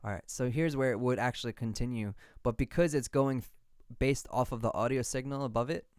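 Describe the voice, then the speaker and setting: A man's voice: medium pitch, brisk, a little mellow, clean tone, dry recording. A man chatting casually on a livestream while producing music, thinking aloud in an informal way. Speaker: music producer live streaming.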